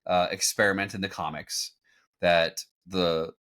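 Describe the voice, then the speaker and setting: A man hosting a podcast, recapping in a casual, halting, conversational way. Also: low voice, little pitch movement, fairly bright tone. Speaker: podcast host. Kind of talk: casual recap commentary on a cartoon. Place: home room, on a group video call.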